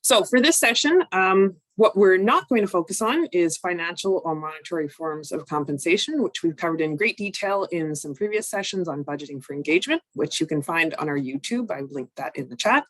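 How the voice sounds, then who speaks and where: A woman, recovering from a cold, presenting an online training session in a measured, explanatory way with frequent ums. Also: on the low side, lively intonation, no room sound. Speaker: workshop presenter.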